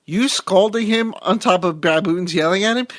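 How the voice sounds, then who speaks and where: A man's voice: sits high, moderate pace, fairly bright tone, dry recording, clean studio sound. A man co-hosting a podcast in casual movie-review chat, blunt and incredulous, swearing freely. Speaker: podcast co-host.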